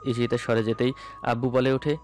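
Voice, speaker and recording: A man's voice: medium pitch, flat delivery, moderate pace, darkish tone. A man narrating a romantic story in the first person, in a dramatic storytelling style. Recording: music bed under the voice. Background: background music.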